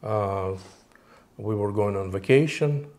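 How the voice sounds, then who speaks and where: A man in his forties from Moldova, a native Romanian speaker, answering interview questions in a halting way with many fillers and restarts. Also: fairly low pitch, a little mellow. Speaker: interview guest.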